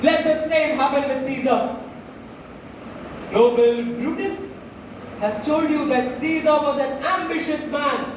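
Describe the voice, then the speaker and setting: A grieving, persuasive Roman orator, acted by a young man in a school play. The voice is very high and declaims with heavy pauses. It comes from far off in a very reverberant hall with clear background noise. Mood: solemn, ironic. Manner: slow, declamatory, emphatic pauses. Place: school stage, recorded from audience distance. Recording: echoey hall, distant mic, noisy.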